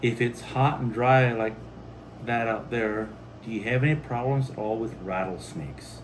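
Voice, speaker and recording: A man's voice: mid-range voice, a little slow. An American man hosting a homestead vlog, chatting casually and reading out viewer comments. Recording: steady background noise.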